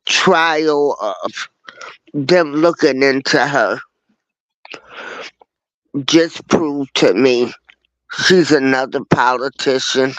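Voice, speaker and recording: A man appearing as a guest on a livestream talk show, speaking in a calm, measured way. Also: mid-range voice, dry recording, poor audio.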